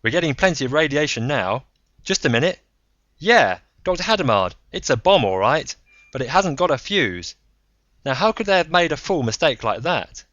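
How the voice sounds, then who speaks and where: A man voicing an excitable male technician in an audiobook story, emphatic and startled as he reports. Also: fairly high pitch, normal speed, no echo, muffled recording, voice pushed up. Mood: excited, baffled.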